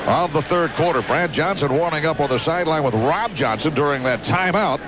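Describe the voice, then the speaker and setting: A man with an American accent calling live football play-by-play on the radio, with animated, descriptive calls. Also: fairly high pitch, brisk. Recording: loud stadium noise behind. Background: loud stadium ambience.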